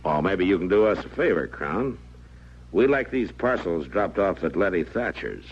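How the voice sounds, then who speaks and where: An actor playing a steady, authoritative frontier marshal in a radio western, speaking plainly and with measured restraint in an American accent. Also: male voice, sits low, breathy edge, full character voice. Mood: cool, authoritative. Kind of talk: 1950s radio western acting. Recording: muffled, noisy old radio recording.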